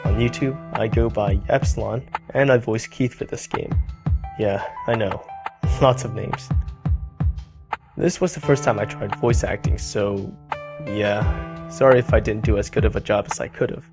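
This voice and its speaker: A man voice actor giving a shy, low-key thank-you message to players in a flat, even voice. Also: unhurried, over music.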